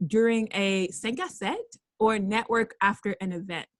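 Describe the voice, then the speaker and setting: A woman's voice: mid-range voice, moderate pace. An upbeat young woman runs a casual interview and laughs easily. Her voice sits in a mid range and sounds dry and close, with little room echo. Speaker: podcast host.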